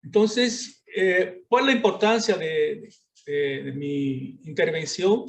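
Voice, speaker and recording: A man with a Portuguese-influenced accent giving an academic lecture over a video call, halting and full of filler sounds. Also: a little high, vocal fry. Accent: Portuguese-influenced Spanish. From Brazil.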